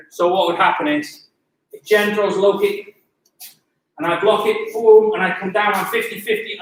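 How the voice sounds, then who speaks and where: A man's voice: high-pitched, a bit bright. A man in his late forties teaching a Muay Thai class online, calling out short repeated cues with self-deprecating asides. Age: 40s. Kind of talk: live online class instruction. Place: gym. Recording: echoey gym from a distance.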